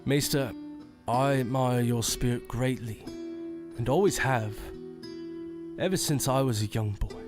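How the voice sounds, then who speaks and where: A man's voice: medium pitch, a little slow, pauses often, over music, close to an everyday voice. A man playing a wary young sheriff. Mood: earnest. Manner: formal, grave, deferential. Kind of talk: fantasy audio drama acting.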